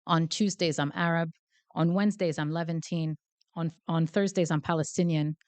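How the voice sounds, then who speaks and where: Low-voiced woman giving a chatty lecture. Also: fairly even intonation, moderate pace, a little mellow, no room sound. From Canada. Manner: chatty, self-deprecating, hesitant with fillers. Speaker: guest lecturer. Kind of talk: academic lecture. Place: lecture hall before a live audience. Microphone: handheld mic.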